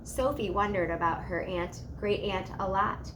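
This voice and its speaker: A woman reading a children's story aloud in an expressive narrating style. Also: mid-range voice, unhurried, noisy background.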